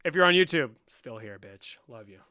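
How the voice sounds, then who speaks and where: Male livestream host. Bright, high voice; profane, mocking, volume swings. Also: lively intonation, normal speed. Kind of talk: casual livestream chat and debate.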